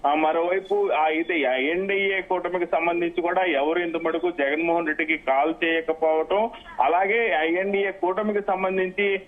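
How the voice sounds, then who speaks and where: A man giving an unscripted live political news report, in halting, repetitive phrasing. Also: sits high, fairly even intonation. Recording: phone-like, thin narrowband line.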